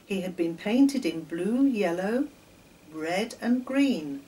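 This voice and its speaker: A woman in her sixties with an English accent reads aloud to children with theatrical emphasis, recorded at a distance in a home kitchen. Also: medium pitch. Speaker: children's storyteller. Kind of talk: expressive read-aloud storytelling for children. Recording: distant microphone in a room.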